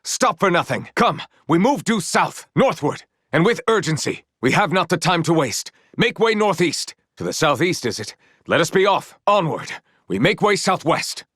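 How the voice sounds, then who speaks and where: An actor voicing a courteous, earnest and gently proud young knight in a video game, with formal, eloquent phrasing. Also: male voice, a little high, big pitch swings, moderate pace, breathy edge, no room sound, studio quality, heavily acted. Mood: urgent, commanding. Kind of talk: video game character voice lines.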